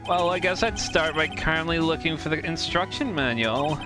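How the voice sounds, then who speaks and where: A man's voice: on the high side, moderate pace, natural voice. A man voicing a laid-back, boastful security guard in a video game dub, breezy and self-assured. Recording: game music behind the voice.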